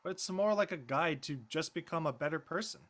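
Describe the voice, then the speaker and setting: Man acting bright, high-voiced teenage boy. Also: fairly quick, close to an everyday voice. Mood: thoughtful. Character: easygoing Korean-American teenage boy, thoughtful, wry.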